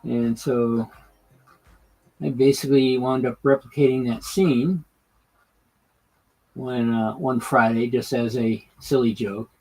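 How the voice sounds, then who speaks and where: A man live streaming his hobby, talking casually and haltingly while he works on a model layout, with lots of fillers and restarts. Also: mid-range voice, fairly even intonation, a little mellow, poor audio.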